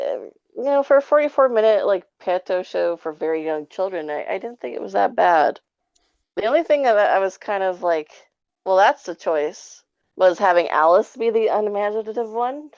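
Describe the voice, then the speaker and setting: A woman's voice: medium pitch, animated, normal speed, a little mellow, no echo, lo-fi sound. A woman giving her take in a casual, rambling review chat with an informal way of talking. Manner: rambling, informal, frequent 'like'. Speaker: podcast guest reviewer.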